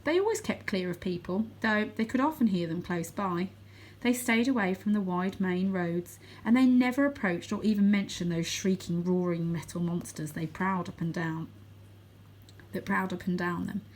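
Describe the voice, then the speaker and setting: A woman narrating a children's book aloud as a storyteller, with expressive delivery. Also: fairly low pitch, moderate pace, poor audio. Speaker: storybook reader.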